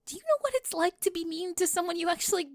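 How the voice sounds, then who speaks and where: A woman voicing a flustered, defensive teenage cheerleader bully with a secret crush in an ASMR roleplay, halting and nervous, often dropping toward a whisper. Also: very high-pitched, animated, gravelly, no room sound, clean studio sound, exaggerated voice. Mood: vulnerable, regretful. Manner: halting, self-interrupting, nervous laughs, drops into whispers.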